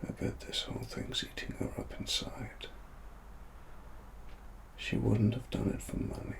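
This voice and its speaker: A British man playing a grave, brooding cyberpunk investigator in a softly spoken ASMR monologue, breathy and deliberate, with long pauses. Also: lowish voice, noisy background. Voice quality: soft, breathy, half-whispered.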